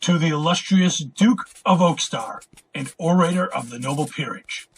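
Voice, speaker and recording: A man's voice: a little high, moderate pace, a little hiss or hum, natural voice, rough recording. A man playing an arrogant, wrathful prince in an audio drama, pompous and scornful in his theatrical delivery. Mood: imperious, sarcastic. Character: arrogant, wrathful, imperious prince dictating letters.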